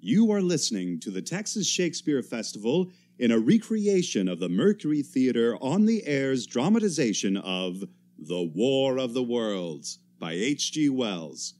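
A man's voice: mid-range voice, big pitch swings, unhurried, hardly any pauses, dark tone. A man announcing a radio play in a scripted, dramatic style.

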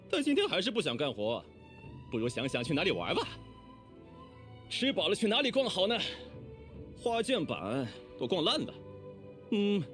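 A man's voice: high-pitched, a bit bright, heavily acted. A man voicing a swaggering, hot-blooded young oni gang boss in a video game, boastful, theatrical and rowdy. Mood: breezy. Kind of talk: animated video game character voice lines.

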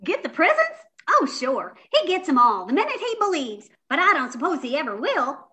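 Woman as a squeaky little Southern brownie elf. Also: huge pitch swings, normal speed, sharp tone, heavily acted. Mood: eager. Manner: folksy, bubbly. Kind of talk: comic radio play parody.

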